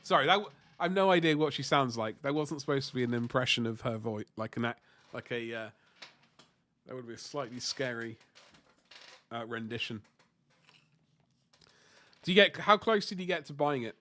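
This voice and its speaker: A man's voice: medium pitch, moderate pace, pauses often, fairly bright tone. Stuffy man chatting on stream. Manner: rambling, self-correcting, mild swearing. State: stuffy and sore-throated from flu. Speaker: livestream host painting miniatures. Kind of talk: casual chat answering viewer comments.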